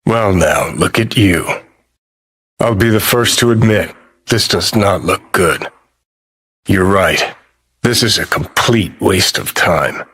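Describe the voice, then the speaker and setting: An actor voicing a cynical, abrasive dead rock rebel in a video game, with an American accent and a sardonic, profane, contemptuous drawl. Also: male voice, low-pitched, slow, pauses often, fairly bright tone, breathy edge, heavily acted. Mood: wry, cynical.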